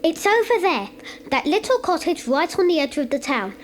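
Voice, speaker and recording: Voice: very high-pitched, big pitch swings, moderate pace, a little hiss or hum. A performer playing a polite, earnest, concerned young boy in a children's audio drama.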